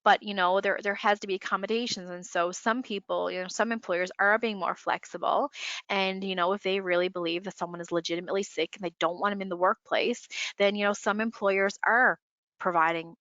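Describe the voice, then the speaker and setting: Bright-voiced woman, congested, muffled call. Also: mid-range voice, fairly even intonation, brisk, no echo. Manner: filler-heavy, conversational, many "you know"s. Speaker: employment lawyer panelist. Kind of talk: webinar discussion, advice. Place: home, remote call.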